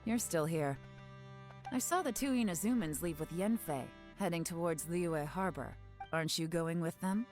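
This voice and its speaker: A woman's voice: fairly low pitch, normal speed, a little mellow, soft background music, close to an everyday voice. A woman voicing a shrewd, secretive young investigator in a video game, poised and wry. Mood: businesslike.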